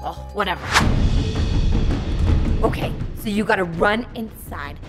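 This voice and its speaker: A woman's voice: unhurried, over loud music, close to an everyday voice. A woman voicing an entitled, bossy Karen villain who is whiny and demanding. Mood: smug. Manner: whiny, shrill, demanding, bursts into squeals and cackles. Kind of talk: Roblox roleplay skit voice acting.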